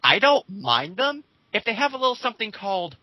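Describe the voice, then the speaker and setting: A man with a fairly high, very bright and forceful voice, recorded close and dry. He rants casually about online comments, opinionated and mocking, with some coarse language. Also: big pitch swings, fairly quick, no room sound. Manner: opinionated, mocking, foul-mouthed. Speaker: co-host reading and reacting to online comments.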